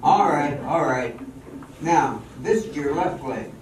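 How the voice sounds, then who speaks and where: A man's voice: on the high side, lively intonation, takes it slowly, a little hiss or hum. A man playing an exacting, cocksure professional dancer in a theatrical amateur radio drama, with a commanding, instructive manner. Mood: instructing. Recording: echoey hall, distant mic, music behind.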